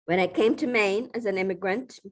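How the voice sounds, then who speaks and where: A middle-aged woman from the Philippines giving a formal, measured acceptance speech with occasional restarts. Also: medium pitch. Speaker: award recipient giving a speech.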